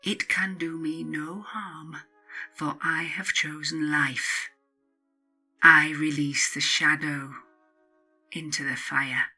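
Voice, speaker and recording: A woman narrating a spiritual self-help book as its author, in a solemn, deliberate, incantatory way. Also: low-pitched, steady tone, pushed and bright, slightly rough, faint music behind. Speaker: author and podcast host reading their own book.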